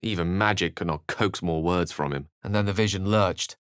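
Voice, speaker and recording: An actor playing a scholarly, self-assured and romantic human wizard in a video game, with an eloquent, theatrical way of speaking. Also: male voice, low voice, normal speed, a bit bright, smooth, dry recording, clean studio sound. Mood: grave, narrating. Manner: eloquent, theatrical, ornate phrasing. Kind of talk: video game character lines and narration.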